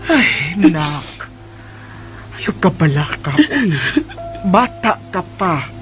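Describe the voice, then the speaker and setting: Man voicing a scolding Bisaya mother, highish voice. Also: big pitch swings, extremely slow, fairly bright tone, faint music behind, full character voice. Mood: nagging, concerned. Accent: Filipino, Bisaya. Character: scolding but caring mother of Mika. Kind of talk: Bisaya radio drama acting.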